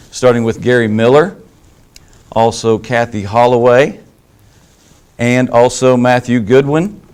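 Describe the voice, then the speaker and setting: A man's voice: on the low side, a little mellow. A man hosting a roundtable of candidates, asking short friendly questions. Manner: friendly, brief prompting questions. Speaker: host and moderator.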